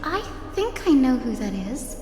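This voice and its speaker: A woman's voice: high-pitched, very animated, a little slow. A woman voicing a cheery, courteous and sincere young healer in a video game. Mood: wondering. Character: bright, polite, earnest young healer woman. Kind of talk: English video game voice acting. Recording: echoey hall reverb under music.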